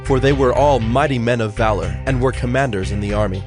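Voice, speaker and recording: A man narrating dramatized scripture in a solemn, measured, formal way. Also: mid-range voice. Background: music.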